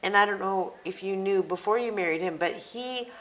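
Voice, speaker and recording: An American woman hosting a personal interview, summarizing and prompting her guest in a measured, conversational way. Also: mid-range voice, fairly bright tone, rough recording. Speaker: interview host.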